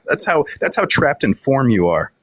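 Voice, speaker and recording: A man calling into a livestream, joking in a deadpan, absurd way in casual chat. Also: mid-range voice, a little slow, noisy background. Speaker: caller.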